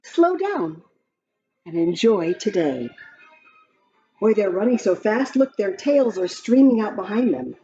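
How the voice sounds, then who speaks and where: Woman slowly reading a children's storybook. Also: medium pitch. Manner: expressive, sing-song delivery for small children. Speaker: storyteller reading a picture book aloud. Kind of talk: read-aloud children's storytelling with chatty asides about the pictures. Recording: slightly dull lo-fi, music behind. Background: music.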